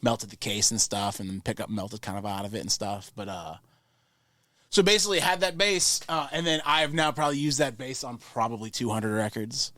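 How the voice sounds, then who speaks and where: A man from New Orleans talks as a podcast guest in a close, dry recording. His pitch sits in the middle for a man. He rambles through his points enthusiastically, in loose, casually profane conversation. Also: fairly quick, pushed and bright, no room sound. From New Orleans, Louisiana. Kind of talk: casual interview chat.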